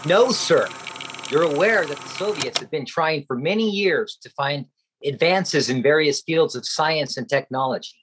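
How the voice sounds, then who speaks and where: An actor playing an earnest, respectful American intelligence agent with a husky voice in a radio play. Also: male voice, fairly high pitch, moderate pace, noisy background, natural voice. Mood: earnest, formal. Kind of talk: Cold War radio play acting.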